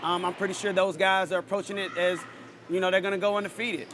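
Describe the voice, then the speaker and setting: A man with a high, bright voice answers casually in an interview as an athlete. He talks quickly and confidently on an even pitch, with faint noise around him. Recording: faint outdoor ambient noise.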